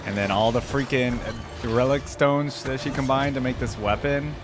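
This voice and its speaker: A man giving casual, musing commentary as a reaction video host, often trailing off quietly. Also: medium pitch, moderate pace, noisy background. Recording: voice over loud game cinematic music.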